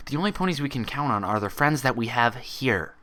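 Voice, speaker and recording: A man's voice: medium pitch, expressive, brisk, bright, forceful tone, pitched above the performer's own voice. A man voicing an anguished young female pony in a fan story, scolding in an accusatory, emotional, emphatic way. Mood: furious.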